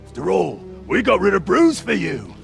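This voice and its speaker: A little orc sidekick full of bluster and cheek in a game cinematic. The voice is raised and crackly, leaping around as it wheedles and protests. Clear music plays behind it. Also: male voice, sits high, normal speed, exaggerated voice. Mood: wheedling, pushy. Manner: animated, wheedling, loudly indignant. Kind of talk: video game cinematic acting.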